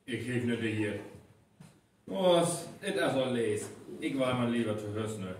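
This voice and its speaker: A man's voice: mid-range voice, a little slow, close to an everyday voice. A man with a North Frisian accent playing a grumbling, reluctant local in a live radio play. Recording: very echoey live stage, distant, faint noise.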